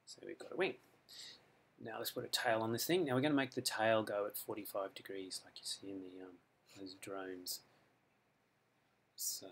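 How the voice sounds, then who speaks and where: A man with an Australian accent walks through a design program one step at a time, relaxed and unhurried, dropping the odd "um". His voice sits low with a gravelly edge, and the recording carries clear background noise along with mouse clicks and typing. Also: low-quality recording. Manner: relaxed step-by-step explaining with frequent ums. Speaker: software author demonstrating his add-in. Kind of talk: screen-recorded software tutorial. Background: mouse clicks and keyboard typing.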